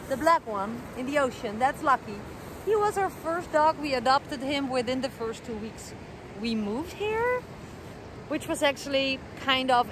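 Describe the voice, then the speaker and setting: A middle-aged woman from the Netherlands with a Dutch accent, chatting casually to the camera outdoors by the ocean, with plenty of fillers as she searches for words. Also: on the high side. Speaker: vlogger. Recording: noisy outdoor recording.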